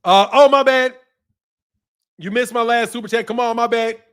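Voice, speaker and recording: A man hosting a casual boxing livestream, talking in lively slang and reading viewer chat. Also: very high-pitched, normal speed, clear, resonant tone. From Chicago area. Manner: animated, slangy, loud outbursts and laughter. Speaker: boxing channel host. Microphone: streaming mic.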